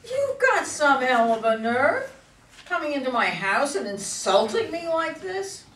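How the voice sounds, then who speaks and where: A woman's voice: sits high, sing-song, a little slow, a bit bright, a little hiss or hum, heavily acted. A woman playing a proud, combative older female politician in a play reading, with an indignant, emphatic delivery. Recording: echoey room, far from mic.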